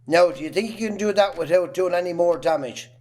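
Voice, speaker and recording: A man acting a bullying, miserly farmer father in an amateur drama, blunt and gruff, with an Irish accent. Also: sits high, fairly quick, darkish tone, close to an everyday voice. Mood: brusque, bossy.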